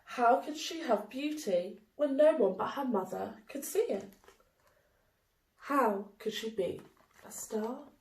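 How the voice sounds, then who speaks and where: A woman reading a children's picture book aloud in an expressive, deliberate storytelling manner. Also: on the high side. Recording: roomy, echoey indoor sound.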